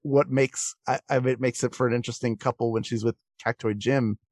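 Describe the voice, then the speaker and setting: A man's voice: medium pitch, fairly even intonation, brisk, darkish tone, no room sound, studio quality. A man, a voice actor, telling anecdotes in a casual podcast interview.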